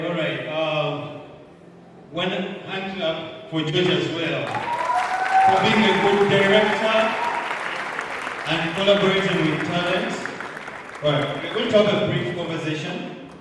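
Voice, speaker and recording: A man's voice: a little high, recorded from a distance, noisy background. Male emcee in an echoey hall. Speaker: event host. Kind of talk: emcee at a live event. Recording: echoey hall, mic from afar, crowd.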